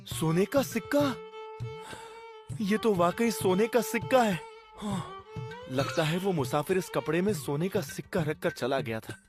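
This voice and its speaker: Kind generous farmer, lively expressive voice, a bit high, music behind. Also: male voice, unhurried. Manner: warm-hearted, caring, expressive. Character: kind, generous farmer hero, middle-aged. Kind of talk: cartoon voice acting.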